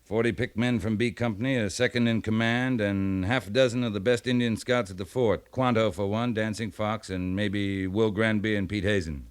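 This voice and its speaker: A man's voice: on the low side, steady tone, normal speed, hardly any pauses, smooth, close to an everyday voice. An American man acting a stern, tough cavalry captain in a 1950s radio western, speaking in a clipped, commanding military way. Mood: calm, respectful. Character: stern, tough male cavalry captain, loyal to his men. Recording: 1950s radio drama recording.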